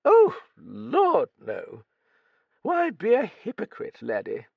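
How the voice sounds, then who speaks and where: A man's voice: high voice, huge pitch swings, slow, creaky, slightly rough, dry recording, highs cut off, voice pushed up, exaggerated voice. A man reading an audiobook, voicing a blunt, hearty old woman who swears freely and talks with cheeky bluster. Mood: bluff, gossipy. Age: older.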